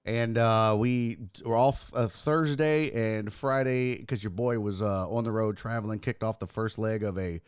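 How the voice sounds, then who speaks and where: A man hosting a casual livestream sports talk show, hoarse and raspy from losing his voice, joking crudely and mocking himself as he chats with viewers. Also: on the low side, fairly even intonation, moderate pace, few pauses, no echo, studio quality. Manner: crude, self-mocking banter with chat. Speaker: host.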